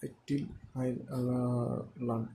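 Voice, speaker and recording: A young man from India with an Indian accent and Hindi as his first language, speaking in halting, non-fluent English full of fillers in a personal monologue to his listeners. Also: medium pitch, level tone, background noise. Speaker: audio diary speaker.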